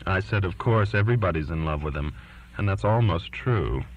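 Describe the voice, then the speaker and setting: A man's voice: low-pitched, expressive, normal speed. A man reading as an earnest, defiant twenty-year-old daughter writing to her father, pleading and insistent, on a lo-fi recording. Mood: frank, insistent. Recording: dull lo-fi recording.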